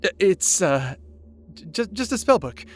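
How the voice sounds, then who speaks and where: A man's voice: high-pitched, very animated, a little slow, faint music behind, full character voice. Man acting a stammering, earnest young male mage. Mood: explaining, hesitant. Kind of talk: fantasy audio roleplay acting.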